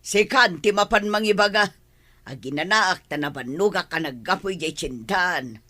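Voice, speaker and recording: A woman acting a callous, bossy, sharp-tongued grandmother in an Ilocano radio drama, with an Ilocano accent. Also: lowish voice, moderate pace. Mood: irritated.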